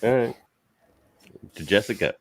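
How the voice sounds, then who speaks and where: Deep-voiced man hosting a casual debate. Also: lively intonation, gravelly. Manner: loose, laughing, quick asides. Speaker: podcast host.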